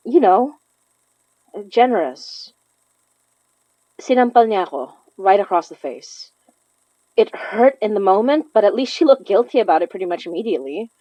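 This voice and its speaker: Halting young Filipino woman, dry muffled recording, acted. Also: mid-range voice, darkish tone, dry recording, lightly acted. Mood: frustrated, reflective. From Philippines. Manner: halting, sighing, confessional. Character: grieving, conflicted young Filipino daughter leaving messages. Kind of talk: intimate audio drama monologue. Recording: slightly dull, lo-fi, dry recording.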